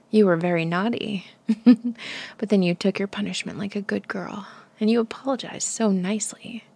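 A woman whispering an intimate audio roleplay, breathy, teasing and commanding. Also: mid-range voice, mellow.

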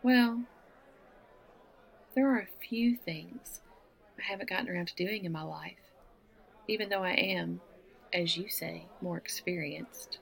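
A woman's voice: fairly low pitch, unhurried, gravelly, pauses often, low-quality recording. A woman from the American South with a Southern accent, teasing and flirtatious in a one-sided audio roleplay, laughing often. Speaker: woman flirting with a stranger at a bar.

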